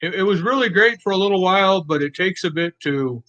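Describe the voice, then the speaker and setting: A man's voice: sits high, expressive, fairly quick, fairly bright tone, muffled recording. A man chatting casually as a guest, easygoing and matter-of-fact as he tells stories. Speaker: guest, commission client. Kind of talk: casual chat.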